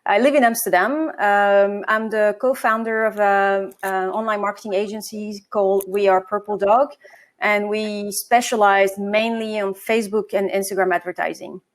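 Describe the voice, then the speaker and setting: A woman's voice: mid-range voice, fairly even intonation, normal speed. A woman from France with a French accent, a marketing agency co-founder answering interview questions, with hesitations and self-corrections.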